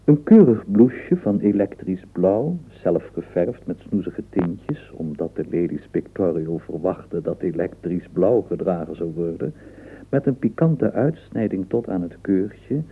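A man narrating a radio drama as a florid storyteller, in a measured, gravelly voice. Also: low-pitched, expressive, exaggerated voice. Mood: measured, admiring. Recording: narrow, phone-like radio play recording.

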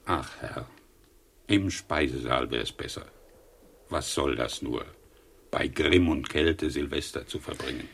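An actor in a radio drama playing a humble, devoted male servant who pleads deferentially in a husky voice. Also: a bass voice, unhurried, long pauses, a bit bright, heavily acted. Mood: pleading. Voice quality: husky, gravelly.

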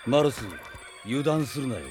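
A man acting in a Japanese radio drama as a cocky, defiant mercenary swordsman who speaks in rough, blunt slang. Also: medium pitch, normal speed, close to an everyday voice. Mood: low, wary. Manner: rough, slangy fighter's speech. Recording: studio drama with loud music behind.

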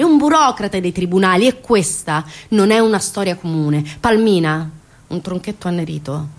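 An actress performing an impassioned theatre monologue as a bitter, indignant storyteller, sarcastic and dramatic, swinging between hushed and loud. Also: female voice, fairly low pitch. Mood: grave, sorrowful. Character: bitter, indignant woman storyteller recounting an injustice.

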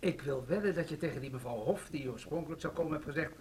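An actor playing a jovial, evasive middle-aged government official in a radio play, bantering and slightly patronising. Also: male voice, on the high side, fast talker, a little mellow, lightly acted. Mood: teasing, amused. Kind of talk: Dutch radio play acting. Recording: noisy old radio drama recording.

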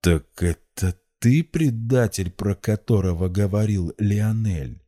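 A war-worn young hero of an audiobook, as a male narrator plays him, feverish and resolute. His voice is dark and low, with curt, desperate intensity. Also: a little slow. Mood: cold anger. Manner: intense, curt, through clenched teeth.

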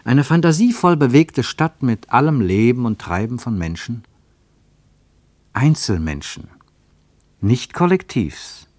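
A man narrating a radio travel play in a measured, lyrical way, with dramatic swings in pitch and loudness. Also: very animated, no echo.

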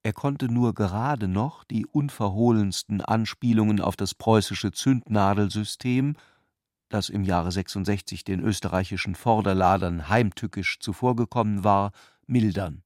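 Male narrator for literary radio drama: low register, measured, exact delivery, dry studio acoustic. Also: darkish tone, no room sound.

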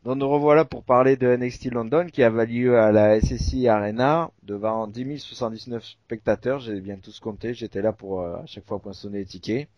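A man's voice: medium pitch, fairly even intonation, a little fast, few pauses, muffled recording. A man with a southwestern French accent hosting a casual podcast, chatty and full of filler sounds. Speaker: podcast host.

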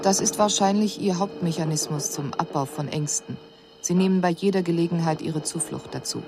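An actress playing an extraterrestrial observer in a radio drama, reporting on human customs in a clinical, measured, matter-of-fact way. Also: female voice, lowish voice, noisy background, natural voice. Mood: detached, analytical.

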